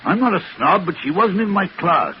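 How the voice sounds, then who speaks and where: A man's voice: high-pitched, very animated, brisk. Pompous rich businessman, swooping delivery. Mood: scornful, defensive. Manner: pompous, clipped, imperious. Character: haughty, self-justifying wealthy businessman haunted by his past. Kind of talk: old-time radio drama acting. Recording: muffled, hissy old radio recording.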